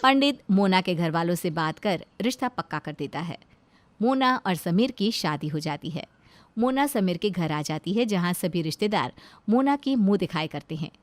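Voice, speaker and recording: A woman's voice: fairly low pitch, expressive, normal speed, no echo. A woman reading scripted narration for a children's cartoon story.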